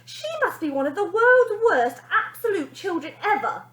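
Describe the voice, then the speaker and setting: A woman voicing a gushing, excitable male television producer in a children's story. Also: very high-pitched, moderate pace, voice pushed up, heavily acted. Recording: echoey room.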